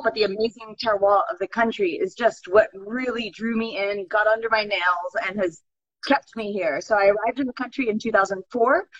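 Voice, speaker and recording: A woman's voice: medium pitch, fairly bright tone. A woman from California with a Californian accent, a winemaker telling her story fluently in an interview. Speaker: guest winemaker. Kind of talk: interview answers. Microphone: video call. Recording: dull lo-fi video call audio.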